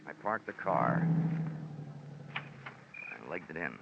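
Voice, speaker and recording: A man's voice: extremely low pitch, animated, slow, vocal fry, very noisy, exaggerated voice. An actor playing a wry, hard-boiled American insurance investigator, narrating his case with dry sarcasm in a 1940s radio drama. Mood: wry, brisk. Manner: sardonic, dry, wordy. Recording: muffled old radio recording with music.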